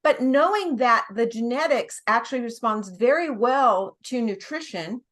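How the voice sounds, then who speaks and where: A woman's voice: on the high side, animated, measured pace, fairly bright tone. A middle-aged American woman, a nurse practitioner as a guest, explaining at length in casual conversation, with many restarts and small laughs. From United States.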